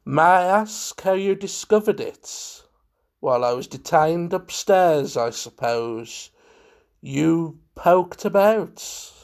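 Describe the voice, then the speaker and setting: A man's voice: high-pitched, very animated, creaky, darkish tone, pitched above the performer's own voice, exaggerated voice. Man playing a grim, guarded collector. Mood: hard, disagreeable. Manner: measured, hard, guarded. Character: grim, secretive, coldly courteous male collector. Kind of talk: dramatised storybook reading.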